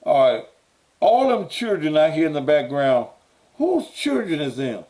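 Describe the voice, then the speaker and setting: A man's voice: on the high side, sing-song, unhurried, dark tone. A man hosting a call-in show, blunt and pressing, who repeats his questions until he gets answers. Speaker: talk show host. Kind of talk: call-in radio talk.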